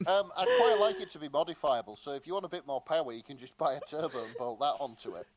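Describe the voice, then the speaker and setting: A man's voice: on the high side, quick pace. A man co-hosting a podcast in casual, conversational chat. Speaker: podcast co-host.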